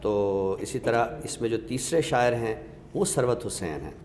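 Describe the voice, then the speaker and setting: A man with a Pakistani accent, giving a literary talk with recited verse in a measured, explanatory way with pauses. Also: mid-range voice, big pitch swings, a little mellow. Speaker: host of an Urdu literature show. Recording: echoey reverberant room, faint noise.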